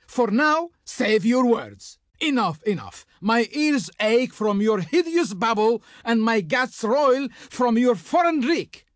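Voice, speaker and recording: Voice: mid-range voice, very animated, slow pace, hardly any pauses, no echo, clean studio sound. An actor playing a haughty, grandiose Egyptian diplomat in a video game, speaking in a pompous, formal, declamatory way. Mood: exasperated contempt. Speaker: game character, faction envoy. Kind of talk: theatrical video game voice acting.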